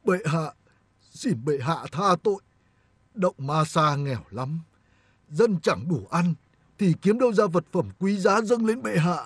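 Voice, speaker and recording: A devious captured chieftain from a historical cartoon. His pleading voice is high and rough, and the sound is dry. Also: animated, a little slow, no room sound, full character voice.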